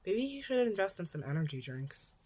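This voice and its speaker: Woman, deep-toned, amateur audiobook narration, fan fiction reader, small stumbles and rereads. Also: big pitch swings, a little fast, darkish tone, slightly rough.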